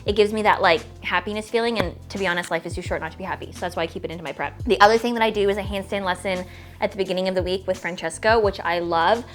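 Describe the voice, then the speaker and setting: A woman's voice: medium pitch, very fast, soft background music. Chatty fitness woman in her late twenties. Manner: chatty and rambling, full of "like" and "okay?"; gushing, squealy delight over little toys. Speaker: fitness creator and bodybuilder. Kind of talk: casual vlog Q&A and unboxing.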